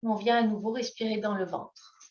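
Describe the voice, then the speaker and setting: A woman yoga teacher guiding breathing exercises in a gentle manner, with long pauses and drawn-out phrases. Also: mid-range voice, steady tone. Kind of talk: guided yoga breathing instruction. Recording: echoey room, slightly dull sound.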